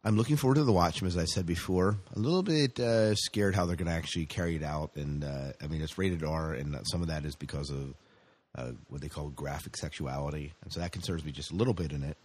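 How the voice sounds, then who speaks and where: A man's voice: rumbling low, fast talker, a little mellow. A man hosting a podcast in casual, bantering chat about films and TV, often asking questions. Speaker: podcast host.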